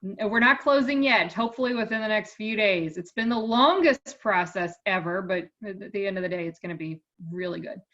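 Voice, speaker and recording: A woman with a medium-pitched voice moderates a conference call casually. She is upbeat and appreciative, and the line sounds slightly muffled. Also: a little fast, few pauses, a bit bright.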